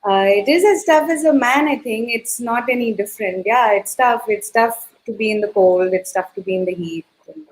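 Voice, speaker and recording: A woman's voice: fairly high pitch, moderate pace, few pauses, poor audio. Reflective woman filmmaker. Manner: reflective, hesitant, laughs easily. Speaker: filmmaker guest. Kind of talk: casual panel chat.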